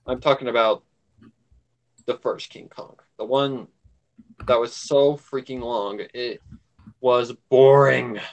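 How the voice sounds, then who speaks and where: Man, medium pitch, casual livestream chat, blunt, grumbly delivery. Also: steady tone, takes it slowly, long pauses, dull audio. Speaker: livestream co-host.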